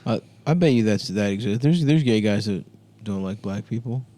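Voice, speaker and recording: A man's voice: fairly low pitch, lively intonation, normal speed, warm tone, no room sound. A man bantering casually as a comedy podcast host, joking in slangy, profane language.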